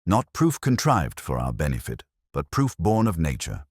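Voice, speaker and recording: A man voicing a coolly analytical, self-assured detective in a storybook mystery, speaking precisely and deliberately. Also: a bass voice, wildly swooping pitch, slightly rough, dry recording, studio quality, heavily acted. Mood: cool, certain.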